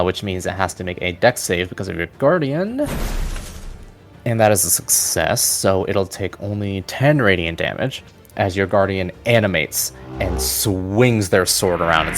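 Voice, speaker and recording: A man narrating combat as the game master of a tabletop game. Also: sits low. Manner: descriptive, steady narration of combat.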